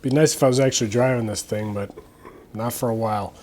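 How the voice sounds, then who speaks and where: A man's voice: fairly even intonation, fast talker, darkish tone. A man hosting a garage vlog on a lapel mic, chatting casually and thinking aloud with many uhs and restarts. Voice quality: deep voice. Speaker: host of a garage restoration vlog. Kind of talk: casual talk to camera. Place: home garage workshop.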